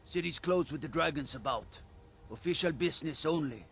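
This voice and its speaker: An actor playing an officious, suspicious city gate guard in a fantasy game, curt and barking. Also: male voice, a little high, normal speed, fairly bright tone.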